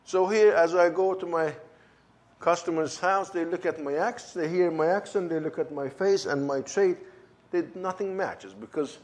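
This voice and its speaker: A man's voice: fairly high pitch, normal speed, a little mellow. Fervent man with a German-Turkish accent. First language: not English. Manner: emphatic, fervent storytelling with acted-out dialogue. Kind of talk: personal testimony.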